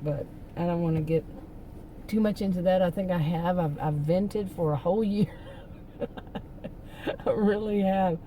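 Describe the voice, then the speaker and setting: A middle-aged woman, hoarse from losing her voice, rambling and venting in a casual vlog from a parked car. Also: fairly low pitch, a little slow, pauses often, warm tone, a little hiss or hum. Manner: rambling, venting, with many restarts. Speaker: vlogger.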